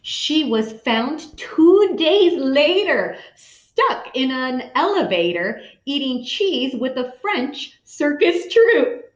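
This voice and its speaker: Animated woman reading a children's story. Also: sits high. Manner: dramatic, addressing young listeners. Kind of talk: expressive picture-book read-aloud with asides. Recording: dull sound in echoey room.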